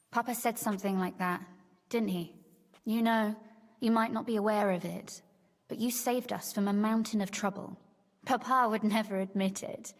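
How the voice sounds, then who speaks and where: A woman's voice: mid-range voice, big-room sound, lightly acted. An actress playing a shrewd, teasing young medieval noblewoman in a video game, speaking in articulate, formal phrases. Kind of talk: scripted game dialogue.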